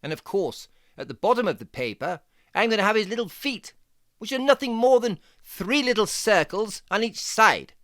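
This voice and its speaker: A performer voicing a jaunty, fussy fox who gives playful, step-by-step drawing lessons for children in a theatrical, deliberate, encouraging way. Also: mid-range voice, animated, fairly bright tone, no echo, studio quality, natural voice. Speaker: presenter of children's drawing tutorial.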